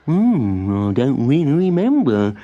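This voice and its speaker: A woman's voice: very deep voice, very animated, takes it slowly, warm tone, dull audio, exaggerated voice. A woman voicing a fussy, absent-minded old male mole in a storybook, muttering and drawing out the words. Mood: muddled. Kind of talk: storybook character voice.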